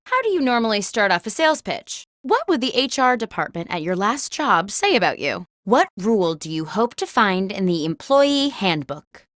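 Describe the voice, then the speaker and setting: A woman playing a job interviewer who hosts a comedic party video game, with crisp, theatrical scripted delivery. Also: on the high side, fairly bright tone, no room sound, studio quality. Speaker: game show host character.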